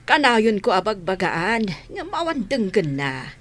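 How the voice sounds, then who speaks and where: A woman's voice: mid-range voice, big pitch swings, measured pace, fairly bright tone. Lady acting as fed-up older ilocano mom, really swoopy complaining, bit of noise behind. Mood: exasperated. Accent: Ilocano Filipino. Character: exasperated older mother complaining about her grown daughter. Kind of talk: radio drama acting.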